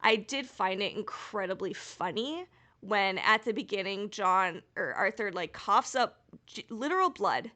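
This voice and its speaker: A woman's voice: medium pitch, expressive, unhurried, pushed and bright. A woman co-hosting a podcast in casual fan discussion, giggly and enthusiastic in manner. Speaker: podcast co-host.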